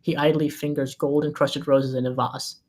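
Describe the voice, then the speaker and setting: A man's voice: mid-range voice, level tone, moderate pace, a little mellow. A man reading scripted screenplay directions as the narrator of a table read.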